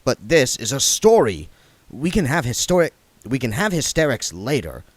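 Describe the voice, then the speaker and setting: Man reading a calm, insistent young reporter. Close to his own voice. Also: medium pitch, normal speed, slightly rough, no echo, voice pushed up. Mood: firm. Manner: calm, firm.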